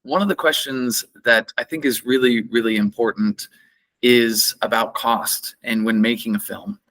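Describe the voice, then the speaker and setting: Bright-voiced man interviewing a filmmaker. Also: medium pitch, fairly even intonation, normal speed. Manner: earnest, repeats words while forming questions. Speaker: festival director and interviewer. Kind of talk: interview questions.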